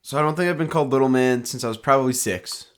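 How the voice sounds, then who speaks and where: A man's voice: medium pitch, fast talker. A young man co-hosting a podcast, chatting loosely about sports and travel in a rambling, joking way. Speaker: podcast co-host. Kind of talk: casual sports chat.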